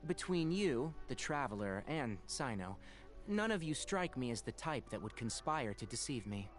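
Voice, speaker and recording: A man playing a perceptive young forest ranger with a measured, straightforward way of talking in an anime video game. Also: mid-range voice, sing-song, close to an everyday voice. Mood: frank. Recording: game music behind.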